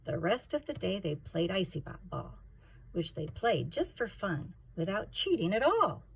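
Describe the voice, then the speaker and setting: A woman's voice: mid-range voice, very animated, a little slow, gravelly, slightly rough. A woman reading a rhyming children's story aloud in a theatrical, emphatic way. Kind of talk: expressive rhyming storybook narration.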